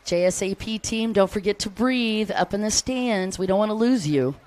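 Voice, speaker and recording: A woman's voice: medium pitch, normal speed, darkish tone. An American woman giving live race commentary, chatty and upbeat, calling out riders and greeting fans between lap updates. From United States.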